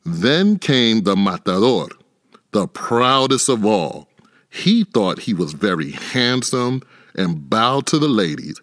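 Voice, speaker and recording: Male storyteller for children's picture book, slow and lively in pitch, dramatic emphasis, close dry sound. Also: no echo. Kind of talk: expressive children's read-aloud narration.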